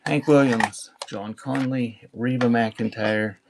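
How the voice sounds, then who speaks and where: A man's voice: medium pitch, steady tone, takes it slowly. A man hosting a casual livestream, talking in a rambling, offhand way between asides and items he reads out. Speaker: livestream auction host. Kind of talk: casual livestream chat while sorting cassettes. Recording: clearly noisy background, small clicks.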